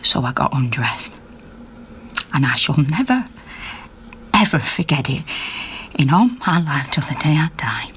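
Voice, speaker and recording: A woman's voice: sits low, animated, unhurried, pauses often, fairly bright tone, slight background noise. An actress playing a middle-aged Yorkshire miner's wife, an indignant activist telling her story conversationally and acting out the dialogue in a naturalistic radio drama. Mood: aggrieved.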